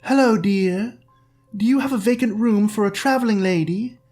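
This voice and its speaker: A man's voice: sits high, normal speed, darkish tone, soft background music, pitched above the performer's own voice. A man voicing a pale, old-fashioned vampire woman with a silken, purring way of speaking. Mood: seductive.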